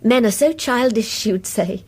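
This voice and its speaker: A woman's voice: fairly high pitch, animated, moderate pace, darkish tone, husky. An actress playing a self-doubting married neighbour in a British radio play, reticent and wistful as she confides. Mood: wistful, confiding.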